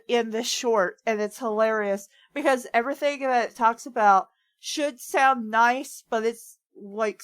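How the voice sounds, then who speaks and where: A woman's voice: on the high side, dry recording. A woman chatting and riffing casually as a reader on a group read-along show.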